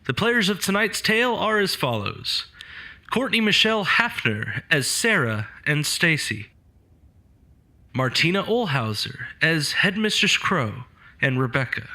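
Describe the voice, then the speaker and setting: Male announcer, crisp credit read, high-ish pitch with a lively tone. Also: unhurried. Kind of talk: reading credits.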